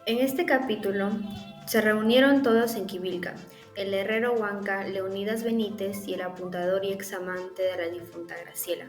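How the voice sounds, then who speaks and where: A woman's voice: mid-range voice, fairly even intonation, moderate pace. A woman narrator reading a scripted story summary in a roomy space. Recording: echoey room with background music.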